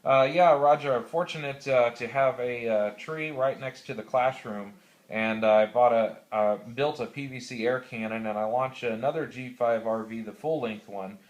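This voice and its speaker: Man, American, medium pitch, amateur radio operator, halting methodical explanations, casual, procedure words. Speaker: classroom teacher.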